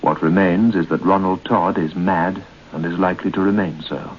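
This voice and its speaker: A man giving grave, measured scripted narration for an old-time radio horror series. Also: low-pitched, steady tone, a little hiss or hum. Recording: muffled old radio broadcast.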